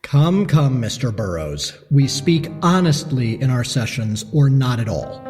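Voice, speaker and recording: A man's voice: mid-range voice, animated, a little slow, a little mellow, long reverb tail, faint music behind, close to an everyday voice. An actor playing a cold, condescending male asylum doctor with formal, precise diction. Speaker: asylum alienist.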